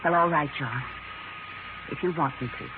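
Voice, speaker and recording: An actress playing a proper, guarded wife in a 1940s radio drama, with a formal, earnest manner. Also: female voice, low-pitched, moderate pace, close to an everyday voice. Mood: dutiful. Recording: noisy, tinny 1940s radio recording.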